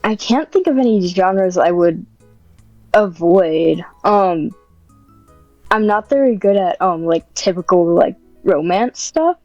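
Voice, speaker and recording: A woman's voice: medium pitch, animated, slow pace, darkish tone, no room sound, muffled recording, soft background music. A woman podcast guest chatting casually and hesitantly, thinking aloud as she answers. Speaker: podcast guest and script writer. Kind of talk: casual interview chat.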